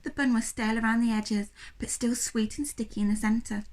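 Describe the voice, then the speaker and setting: A woman reading a children's story aloud like a primary school teacher, with expressive, dramatic narration. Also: a little high, fairly quick.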